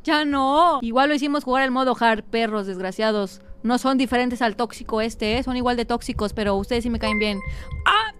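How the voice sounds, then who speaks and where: A woman's voice: fairly high pitch, fairly even intonation, moderate pace, fairly bright tone, soft background music. A woman with a Mexican accent commentating a horror game as a streamer, dramatic and teasing, sometimes breaking into song. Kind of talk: gaming livestream commentary.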